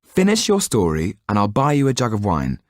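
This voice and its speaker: A man playing an eager young man in a storybook reading, a little higher than his own voice. He is direct and demanding. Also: wildly swooping pitch, fairly quick, no room sound, studio quality. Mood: urgent.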